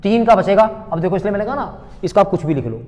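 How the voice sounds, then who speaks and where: A man's voice: high voice, big pitch swings, quick pace, dark tone, long reverb tail. A male teacher giving an exam-prep lesson. He asks a question, repeats it, then answers it himself, and calls his students beta. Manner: addresses students fondly as beta, bachche.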